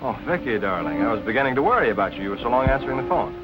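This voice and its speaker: A man's voice: mid-range voice, very animated, very fast, muffled recording, heavy background noise, close to an everyday voice. An actor playing an earnest, solicitous man who is under suspicion and comforting his sweetheart, in 1940s film noir style. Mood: apologetic, tender.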